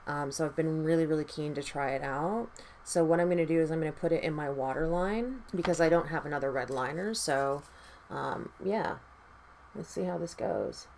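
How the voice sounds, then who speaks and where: Low-voiced raspy woman, tired chatter. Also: moderate pace, darkish tone, a little hiss or hum. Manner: rambling, chatty, swears casually. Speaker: makeup vlogger. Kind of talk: casual chat while doing makeup.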